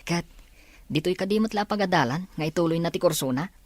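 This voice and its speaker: A woman's voice: fairly low pitch, expressive, fast talker, dry recording. A woman with a Filipino accent acting a friendly teenage schoolmate in a radio drama. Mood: curious.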